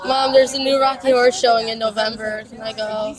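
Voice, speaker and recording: A young woman talks excitedly in a convention queue, her voice sitting a little high. She stumbles and restarts her sentences. Loud crowd chatter fills the background. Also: brisk, low-quality recording. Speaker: fan waiting in a convention line. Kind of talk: casual chat. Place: busy convention hall queue.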